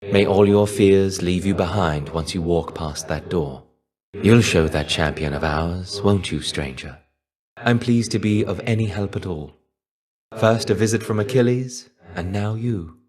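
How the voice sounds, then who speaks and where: An actor playing the shade of a fallen Greek warrior in a video game, wry, reserved and melancholy, with a measured, understated delivery. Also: male voice, low voice, darkish tone. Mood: wry, welcoming. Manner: measured, understated, wistful, trailing off at phrase ends. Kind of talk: video game character voice lines.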